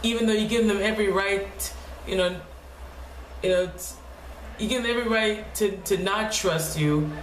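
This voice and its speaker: Soft-spoken young woman with a deep voice. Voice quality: low, deep voice. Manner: halting, restarts often, soft and quiet. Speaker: vlogger. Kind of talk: casual personal vlog. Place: home room. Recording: echoey room with faint noise.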